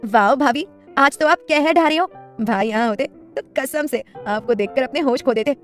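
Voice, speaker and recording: Woman as a bubbly, high-pitched teasing sister-in-law. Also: big pitch swings, brisk, soft background music.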